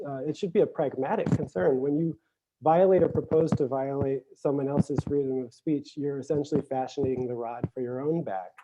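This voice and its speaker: A man speaking as a panelist, explaining an argument in halting, thoughtful phrases. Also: medium pitch. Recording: dull lo-fi audio, faint noise, clicks.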